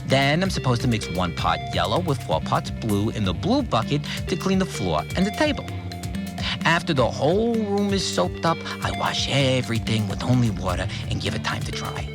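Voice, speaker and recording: A man playing a blunt, irritable, obsessively tidy cleaner in a first-person audio drama, plain-spoken and quick to swear. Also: medium pitch, sing-song, over music, close to an everyday voice. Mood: methodical. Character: obsessively orderly, irritable male cleaner, simple-minded rule follower. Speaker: voice actor in a fiction podcast.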